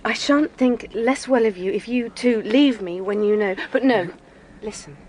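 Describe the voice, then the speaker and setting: An actress playing a sorrowful, gentle young gentlewoman in a period audio drama, earnest and wistful. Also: fairly high pitch, moderate pace, slight background noise, close to an everyday voice. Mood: wistful, confiding.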